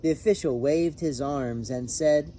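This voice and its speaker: A man reading a children's picture book aloud in an expressive, animated, emphatic way. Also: fairly high pitch, measured pace, a little hiss or hum. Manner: animated, emphatic on repeated refrain. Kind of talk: expressive storybook read-aloud with brief asides.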